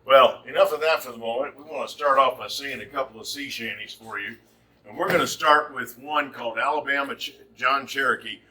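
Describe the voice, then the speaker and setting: A man's voice: medium pitch, fairly quick, pushed and bright. A man from Virginia in costume gives a chatty lecture to an audience, speaking without a microphone in his own big voice. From Virginia, USA. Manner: chatty and explanatory, with frequent restarts. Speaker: costumed shanty performer and lecturer. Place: indoor event hall. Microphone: no microphone, picked up from across the room. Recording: distant room recording.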